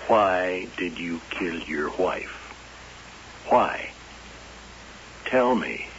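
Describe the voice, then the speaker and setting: An actor playing a stern, patient sheriff, probing deliberately in an old-time radio drama. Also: male voice, low-pitched, lively intonation, a bit bright, heavily acted. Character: stern, patient male sheriff questioning a killer. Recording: muffled, hissy vintage radio recording.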